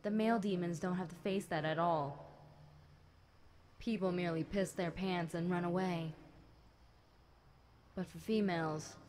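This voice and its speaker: A woman plays a centuries-old demon girl with a slightly low voice and an unhurried, slow delivery. She is chatty, smug and teasing, speaking casually to the listener as in an audio roleplay, over clearly audible background noise. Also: fairly even intonation, a little mellow, lightly acted. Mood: wry, indignant. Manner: casual, teasing, conversational with light chuckles.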